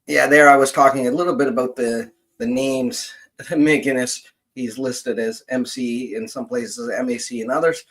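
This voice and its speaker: A middle-aged man hosting a casual livestream as a genealogist, rambling and thinking aloud in a halting way. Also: medium pitch, lively intonation, creaky, a bit bright.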